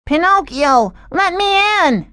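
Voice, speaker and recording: Insistent, very high-pitched young boy. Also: expressive, takes it slowly, a bit bright, heavily acted. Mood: urgent. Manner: urgent, insistent, crying out. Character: anxious, pushy young boy, Pinocchio's friend. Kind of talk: animated children's story acting.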